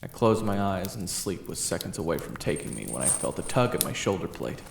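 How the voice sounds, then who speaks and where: Gravelly man in a lively audio roleplay. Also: deep voice, a little fast, noisy background. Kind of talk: first-person audio roleplay, narrating and speaking to the listener. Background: video game soundtrack music.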